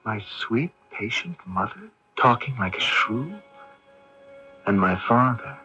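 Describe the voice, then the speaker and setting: An actor playing a troubled, anxious young son in a radio drama, brooding and questioning, stammering under stress. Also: male voice, mid-range voice, big pitch swings, slow, sharp tone, exaggerated voice. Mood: bitter, bewildered. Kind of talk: radio drama acting with first-person narration. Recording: muffled early-1980s AM radio broadcast, music behind.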